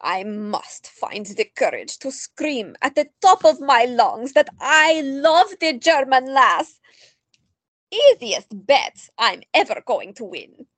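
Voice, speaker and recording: A woman playing an eager, loving old woman in an audio drama, speaking slowly and expressively. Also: sits high, big pitch swings, a bit bright, no room sound, full character voice. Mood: playful. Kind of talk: amateur audio drama acting.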